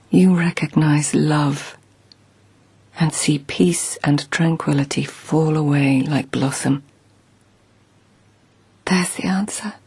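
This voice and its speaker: Low, breathy noble court lady. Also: a little mellow, heavily acted. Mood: wistful musing. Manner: musing, poetic. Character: petulant, reflective noble court lady, poetic. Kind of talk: radio drama acting.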